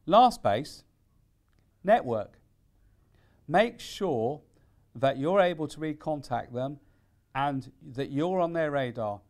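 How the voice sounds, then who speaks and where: A man giving an instructional presentation as a careers consultant, with deliberate, emphatic phrasing. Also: fairly high pitch, lively intonation, darkish tone.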